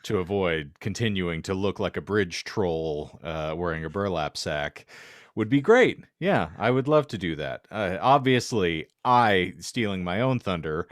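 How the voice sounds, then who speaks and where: A man's voice: sits low, expressive, unhurried, dry recording, studio quality. A man hosting a podcast, chatting comedically in a wordy, self-deprecating way. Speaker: podcast host. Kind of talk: casual comedic chat.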